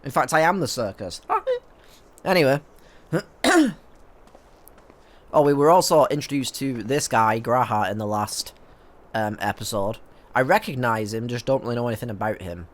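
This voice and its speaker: A man's voice: medium pitch, expressive, normal speed, pauses often. A man with a stuffy nose giving rambling, jokey commentary as he plays a video game. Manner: rambling, jokey, self-mocking. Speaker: gaming video creator. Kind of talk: let's play commentary.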